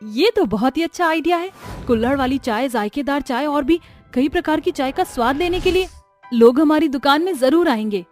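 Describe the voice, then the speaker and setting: A woman plays a cheerful, resourceful young daughter-in-law in a cartoon story. She talks in a brisk, encouraging way with a high-set voice, and faint music sits behind her. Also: animated, a little mellow. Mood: eager. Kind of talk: animated storybook voice acting.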